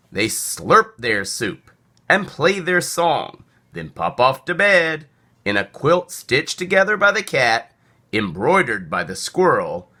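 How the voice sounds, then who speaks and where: Slow, animated man reading a storybook. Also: medium pitch. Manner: theatrical, deliberate. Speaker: storybook reader. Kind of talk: expressive children's read-aloud narration.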